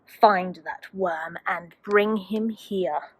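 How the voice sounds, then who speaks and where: Storybook villain: bossy, menacing lizard wizard played by a woman, drawn-out delivery, close dry sound. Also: fairly low pitch, a bit bright, husky, dry recording, voice pushed down, exaggerated voice, rough recording. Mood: sinister, commanding.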